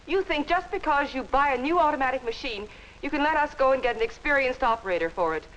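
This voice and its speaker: An American actress playing a defiant, easily offended office clerk in a 1950s instructional film with a hissy soundtrack. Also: female voice, high-pitched, lively intonation, fast talker, a bit bright, rough recording. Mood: defiant, huffy. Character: indignant, defiant female office clerk, quick to take offense. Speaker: actor in workplace instructional film.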